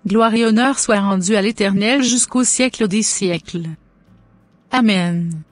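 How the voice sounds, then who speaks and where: A woman playing a pious, joyful middle-aged mother in an animated religious story, speaking in solemn, formal blessings. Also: mid-range voice, measured pace, dry recording, natural voice. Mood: reverent, blessing.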